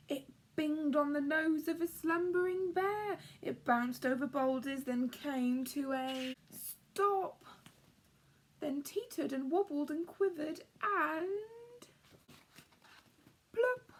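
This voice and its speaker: A woman reading a rhyming picture book aloud to young children, with dramatic expression and hushed word endings. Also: high voice. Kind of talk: expressive rhyming story narration.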